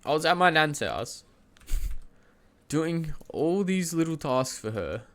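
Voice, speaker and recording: A man's voice: a little high, big pitch swings, slow pace, fairly bright tone. A young man streaming from home, standing and shifting around at his desk mic. He has a cold, so his voice comes out nasal and congested. He rambles through his story in a casual, chatty way, trips over his words and keeps breaking into little laughs. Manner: loose and chatty, lots of fillers and restarts, laughs mid-sentence; standing and moving around while talking.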